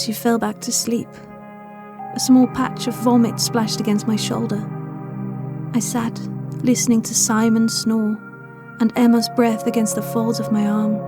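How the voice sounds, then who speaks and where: A woman narrating a scripted first-person horror story in a measured, dramatic, haunted way. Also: a little high. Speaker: audio fiction narrator. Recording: music playing behind the voice. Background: atmospheric new-age music.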